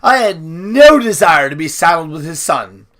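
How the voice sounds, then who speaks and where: A man's voice: a little high, sing-song, moderate pace, fairly bright tone. Man acting a nervous, wailing little man. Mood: miserable. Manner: hesitant, wailing, stammering. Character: small, miserable, nervous man, a shirking messenger.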